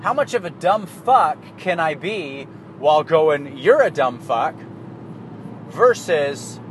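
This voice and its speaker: Canadian guy, somewhat high voice, loose profane monologue, car and street noise audible behind. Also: very animated, slow. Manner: rambling, profane, laughs at himself. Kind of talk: casual monologue.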